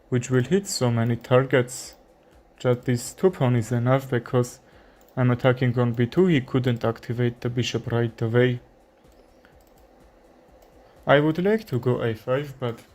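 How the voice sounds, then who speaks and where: Young armenian guy talking thru his chess moves, slow, lots of uh and um. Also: medium pitch, a little mellow. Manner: unhurried, thinking aloud with many fillers and pauses. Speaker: chess streamer. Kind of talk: live commentary while playing chess.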